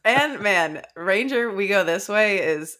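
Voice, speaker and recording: A woman's voice: mid-range voice, lively intonation, slow, bright, forceful tone. A woman in her thirties co-hosting a book discussion, talking casually and searching for her words. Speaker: book club podcast co-host. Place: indoor room, remote video call.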